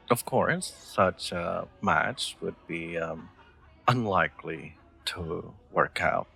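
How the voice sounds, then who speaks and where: A man's voice: sits low, slow pace, vocal fry, pushed and bright, slightly rough, heavily acted. A man playing an awkward, sweet young royal knight in a roleplay audio drama, speaking formally with hesitant stammers and nervous laughter. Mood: flustered, sheepish.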